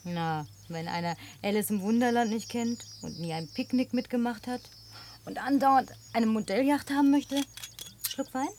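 A woman's voice: a little high, normal speed, darkish tone, a little hiss or hum, lightly acted. Actress as teasing, worldly city woman. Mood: sceptical, mocking. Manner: playful, probing, colloquial. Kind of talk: naturalistic German radio play acting.

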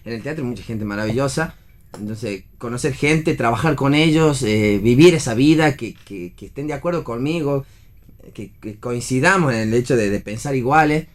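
A man with an Argentine accent, a stage actor speaking earnestly and haltingly, repeating words, in a casual radio conversation. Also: mid-range voice.

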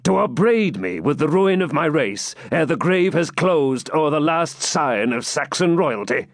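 A man voicing a stern, proud old Saxon lord and father in a forceful, declamatory storybook manner with archaic speech. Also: on the high side, big pitch swings, measured pace, dry recording, studio quality, voice pushed up. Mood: bitter.

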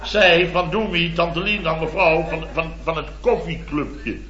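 Actor as blustery, high-pitched middle-aged contractor. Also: male voice, measured pace, close to an everyday voice. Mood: exasperated grumbling. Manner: rambling, exclamatory, constant tag words. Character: blustery middle-aged boastful male building contractor, rambling anecdote teller. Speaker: comic lead actor. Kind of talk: 1970s radio comedy acting. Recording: dull old radio recording, roomy, audience laughter.

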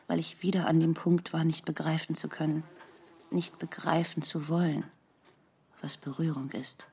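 An actress voicing a pensive, introspective woman narrator recalling her past in a measured, hushed literary radio play monologue. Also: low voice, breathy edge, heavily acted. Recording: music under the voice.

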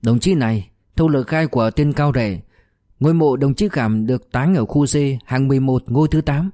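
An officious, polite government deputy in an audiobook, read by a male narrator, formal in manner. The voice is mellow, mid-pitched and recorded very dry. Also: a little fast, no echo, natural voice. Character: eager, officious male deputy director of a provincial department.

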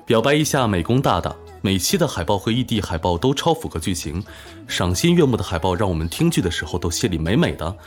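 A man's voice: sits low, big pitch swings, fast talker. A man co-hosting a casual live-streamed event, reading out questions and announcements. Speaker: live-stream co-host of an audio drama event. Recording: music behind the voice. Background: background music.

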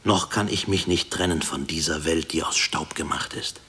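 A haunted husband who was once a prisoner, in an old German radio play. His low voice is grave and deliberate, brooding over the past, and it hangs in a long reverb. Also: sharp tone. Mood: intense, insistent. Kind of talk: 1960s German radio drama acting.

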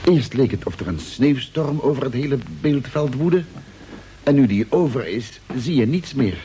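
A man plays a sober, pessimistic crewman aboard a spaceship. His voice is medium-pitched and dark, measured and gloomy. It is an old radio drama with slight background noise. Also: lively intonation, close to an everyday voice. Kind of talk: vintage radio drama acting.

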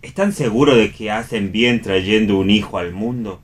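A man's voice: on the low side, normal speed, natural voice. Man as contrary restless man, Argentine accent, dry, sardonic, provocative, radio play. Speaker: actor in a read radio play. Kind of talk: read radio theatre dialogue.

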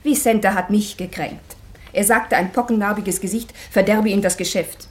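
An actress playing a loyal, sharp-tongued maidservant in a German radio play, speaking precisely and dryly, respectful yet pert. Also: female voice, mid-range voice, fairly even intonation, moderate pace, close to an everyday voice. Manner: dry, respectful but pert, precise stage diction.

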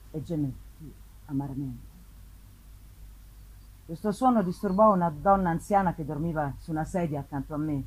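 A woman narrating a gothic novel in a deliberate, theatrical way, with emphatic swells and drops. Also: fairly low pitch, mellow, breathy edge, poor audio. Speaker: narrator, reader. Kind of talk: dramatic reading of a gothic novel. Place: live festival performance. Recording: live reading with faint ambient noise.

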